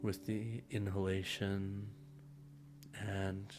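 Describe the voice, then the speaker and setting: A man leading a guided meditation in a soothing way, with unhurried phrasing and audible sighs and hums. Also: fairly low pitch, level tone. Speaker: meditation guide, music therapist. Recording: music playing over voice, online video call. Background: meditative instrumental music.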